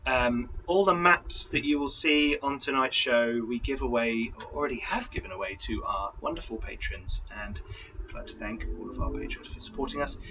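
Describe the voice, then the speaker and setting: A man's voice: mid-range voice, moderate pace, gravelly, bright, forceful tone, muffled recording, slight background noise. A man hosting a tabletop role-playing livestream as game master, chatting informally through announcements and correcting himself as he goes.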